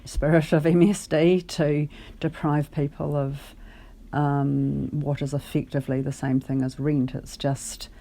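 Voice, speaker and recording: Kiwi caller, gravelly mellow voice, pretty flat, choosing words carefully while making their case. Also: medium pitch. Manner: hesitant, halting, careful and articulate argument. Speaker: caller, benefit client. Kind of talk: phone conversation, explaining a case.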